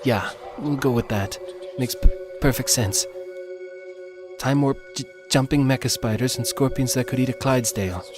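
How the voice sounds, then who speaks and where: Man voicing a stammering, frightened young Texan woman, pitched up. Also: normal speed, music in the background, natural voice. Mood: sarcastic. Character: frightened, foul-mouthed, sarcastic young Texan woman, shivering.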